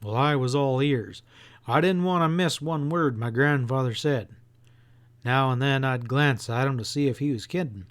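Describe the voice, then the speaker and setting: Male audiobook reader, mid-range pitch, folksy, expressive first-person storytelling. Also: normal speed.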